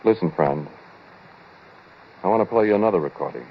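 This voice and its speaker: An actor playing a haunted, obsessive scientist who narrates in halting, dramatic 1940s radio horror style, with deliberate pauses. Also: male voice, low voice, heavy background noise, natural voice. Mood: ominous. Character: haunted, obsessive male scientist narrating his strange experiment. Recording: dull vintage 1940s radio recording, loud music.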